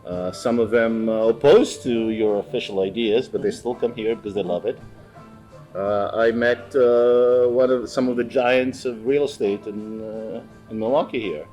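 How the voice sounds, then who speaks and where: A man with a Greek accent, a guest chatting in a bar, speaking haltingly with many fillers and restarts. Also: on the low side, steady tone, warm tone, faint music behind. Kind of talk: casual chat.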